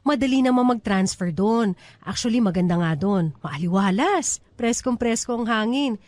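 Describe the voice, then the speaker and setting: A woman's voice: fairly high pitch, lively intonation, moderate pace, a little mellow, dry recording, close to an everyday voice. A woman playing an eager, clinging teacher in love in a radio drama, chatty and insistent. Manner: chatty, insistent, planning aloud.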